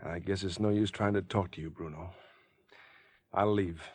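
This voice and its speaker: An American actor playing a decent, agitated young man cornered by murder, in tense, halting, earnest 1950s radio drama style. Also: sits low, steady tone, a little mellow, slightly rough, no room sound. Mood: earnest, uneasy. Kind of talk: 1950s radio suspense acting. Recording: vintage 1950s radio recording with music.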